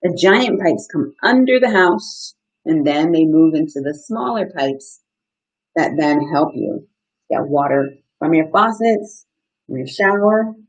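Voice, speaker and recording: Low-pitched, husky woman teaching children. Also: expressive, measured pace, low-quality recording. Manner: simple, interactive, encouraging teacher talk. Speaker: outdoor educator hosting a children's story time. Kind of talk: read-aloud lesson for children with questions and explanations. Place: small indoor room. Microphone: webcam at desk distance, live video stream.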